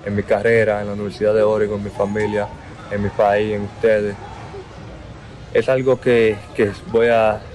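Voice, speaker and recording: A young man in his twenties with a Dominican accent, speaking in an interview in a slow, halting way that repeats words. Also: fairly low pitch, darkish tone, rough recording. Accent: Dominican Spanish. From Dominican Republic. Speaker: basketball player interviewed. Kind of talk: outdoor interview.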